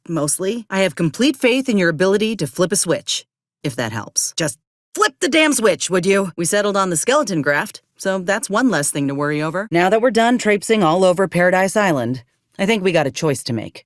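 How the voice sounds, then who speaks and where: A woman's voice: on the low side, dry recording, clean studio sound, close to an everyday voice. An actress playing an earnest, determined young woman soldier with folksy rural turns of phrase in a video game. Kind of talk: video game character dialogue.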